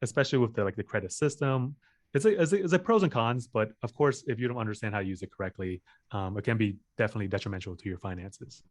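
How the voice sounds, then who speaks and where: A man's voice: fairly low pitch, fast, no echo, clean studio sound. A man hosting a personal finance interview, asking questions in a casual way with many fillers. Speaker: host.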